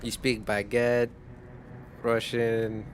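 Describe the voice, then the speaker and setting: A man whose first language is Spanish, chatting casually as a streamer while gaming, in a rambling, relaxed way. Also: mid-range voice, slow pace, a little hiss or hum. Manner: rambling, relaxed, laughs easily.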